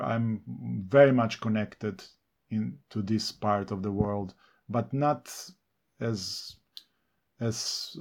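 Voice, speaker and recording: A male novelist from Slovenia, a native Serbo-Croatian speaker, answering interview questions reflectively in English with many pauses, fillers and restarts. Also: medium pitch.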